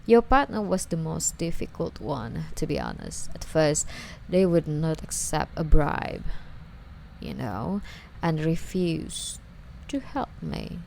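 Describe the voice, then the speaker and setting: A woman playing an obsessive, sweetly menacing female stalker in an ASMR roleplay, coy and breathy, often slipping toward a whisper as she coddles her captive. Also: deep voice, wildly swooping pitch, takes it slowly, darkish tone, slight background noise, full character voice, low-quality recording. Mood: smug.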